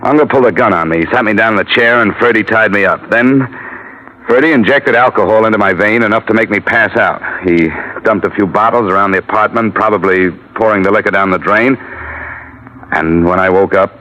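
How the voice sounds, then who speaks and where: An actor playing a tough American private investigator framed for murder, in clipped old radio detective style. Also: male voice, lowish voice, brisk, sharp tone. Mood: terse, weary. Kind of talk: 1940s-50s radio detective drama acting. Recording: dull old radio broadcast.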